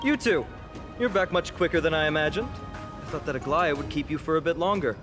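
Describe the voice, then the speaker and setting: An actor playing a modest, duty-bound young warrior in a video game, speaking politely in measured, earnest phrases. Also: male voice, a little high, over music, natural voice. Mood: earnest, courteous.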